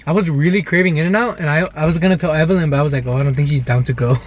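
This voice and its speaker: A man's voice: on the high side, rapid-fire. A young man talking casually to viewers as a vlog co-host, telling stories in a conversational way. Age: young adult. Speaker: vlogger, channel co-host. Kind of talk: casual chatty storytelling vlog.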